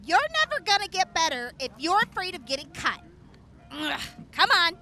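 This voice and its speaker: A woman's voice: very high voice, sing-song, normal speed, pushed and bright, exaggerated voice. A woman playing a brash, sarcastic young wastelander in an audio drama, scornful and drawling. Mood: impatient, eager.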